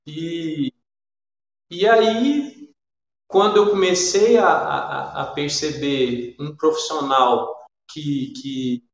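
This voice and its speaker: A man's voice: a little high, extremely slow, vocal fry, highs cut off. Echoey shy man with a Minas Gerais accent. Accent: Minas Gerais Brazilian Portuguese. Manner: shy, meandering, folksy storytelling. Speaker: interview guest, storyteller and musician. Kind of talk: casual reminiscing interview talk.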